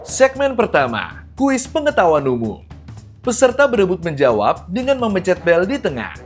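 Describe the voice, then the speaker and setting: A man's voice: high-pitched, huge pitch swings, moderate pace. A man hosting a quiz show in a playful, emphatic announcing manner. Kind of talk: lively game show hosting. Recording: music behind the voice.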